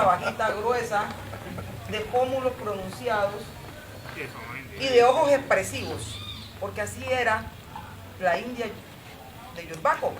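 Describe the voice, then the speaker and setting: A woman, speaking on a forum panel with proud, emphatic storytelling. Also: on the high side. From Colombia. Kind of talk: impassioned personal talk. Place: outdoor patio. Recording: roomy, distant and noisy.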